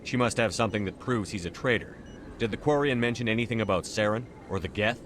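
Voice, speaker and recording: A human military commander in a video game, acted by a man, speaks in a lowish voice. He is direct, decisive and businesslike. Steady ambient noise sits clearly behind him. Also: fast talker, natural voice. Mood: firm, brisk.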